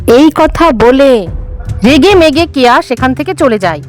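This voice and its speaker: একজন নারী বাচ্চাদের ভূতের গল্প লিখিত পাঠ থেকে বর্ণনা করছেন।. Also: female voice, high voice, expressive, a little slow. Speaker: storyteller. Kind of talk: scripted children's horror story narration. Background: faint music.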